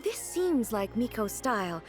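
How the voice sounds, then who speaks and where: A woman's voice: a little high, very animated, unhurried, over music. A woman playing a composed, earnest female ruler in a video game, speaking in a formal, dignified way. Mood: earnest, puzzled.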